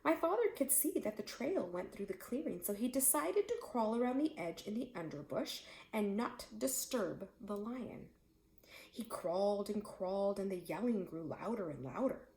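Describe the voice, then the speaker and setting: Animated woman storyteller in an echoey room. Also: mid-range voice, normal speed. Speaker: read-aloud storyteller. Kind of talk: expressive children's story narration.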